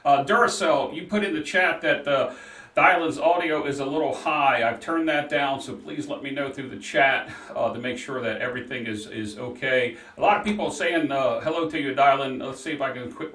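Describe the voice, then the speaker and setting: A man's voice: fairly high pitch, fairly quick, pushed and bright, distant mic. Chatty man, roomy and off-mic. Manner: chatty, runs the chat on air. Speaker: co-host. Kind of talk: live call-in show chat.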